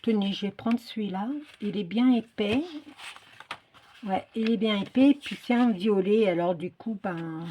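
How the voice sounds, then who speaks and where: Middle-aged or older woman, medium pitch, unhurried delivery, hesitant, searching for words, casual craft chat. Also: dark tone, a little hiss or hum. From Portuguese descent. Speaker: craft video creator. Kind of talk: casual chatty craft vlog.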